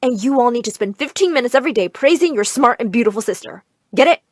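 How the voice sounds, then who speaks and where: A woman's voice: on the high side, animated, quick pace, no room sound, studio quality. A woman voicing an overbearing, snobbish, money-hungry mother, melodramatic and scolding, in a narrated story. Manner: shouting, scolding, dramatic.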